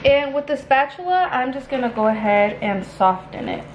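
Casting: young female home baking vlogger, fairly high voice, informal and chatty, clearly noisy kitchen recording. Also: sing-song, moderate pace, muffled recording. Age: young adult. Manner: informal, chatty, occasionally crude. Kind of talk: casual instructional chat to viewers. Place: small home kitchen, indoors.

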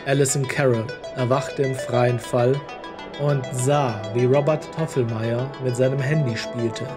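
A man's voice: medium pitch, fairly even intonation, a little slow, music in the background. A man reading scripted story narration as an audiobook narrator.

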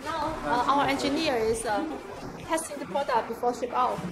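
A young woman guiding visitors through an office and factory, speaking haltingly with many fillers. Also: high voice, crowd noise. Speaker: company guide. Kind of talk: factory tour walkthrough. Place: office and factory floor, indoors. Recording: noisy factory and office background.

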